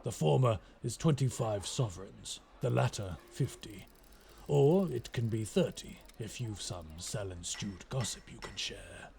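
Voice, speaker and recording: A roguish male skyship captain, acted by a man, speaks in a deepish voice with a gravelly grain. He is courteous in an old-fashioned way, wry and mercenary. It is an immersive audio roleplay with some noise and ambience behind the voice. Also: expressive, a little slow, a little mellow. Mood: dryly welcoming, haggling. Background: ambient sound effects.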